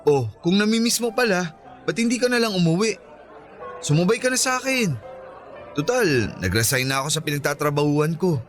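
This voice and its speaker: A man's voice: sits high, big pitch swings, brisk, long pauses. A man acting a teasing, cocky young man in love with an old friend, in a radio romance drama. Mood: pleading, teasing. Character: Ronnie, teasing, cocky, lovestruck young male childhood friend. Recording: background music and crowd chatter.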